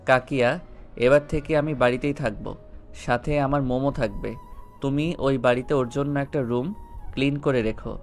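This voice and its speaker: A man's voice: medium pitch, fairly even intonation, normal speed, faint music behind, close to an everyday voice. Man acting a firm, defiant young rich man. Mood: firm, resentful. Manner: firm, cutting, commanding. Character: defiant, protective, wealthy young man of the household. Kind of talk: Bengali audio story acting.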